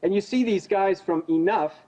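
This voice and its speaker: A man's voice: sits high, moderate pace, dark tone. An American man giving opinionated commentary as a news interview guest, speaking in an emphatic, accusatory and insistent way. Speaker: interview guest, genocide investigator. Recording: dull lo-fi audio.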